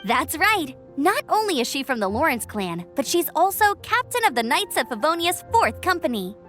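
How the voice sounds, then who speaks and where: A woman voicing a cheerful young female knight scout who talks in a friendly, lively, chatty way in a game cutscene. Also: high voice, normal speed. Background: game music.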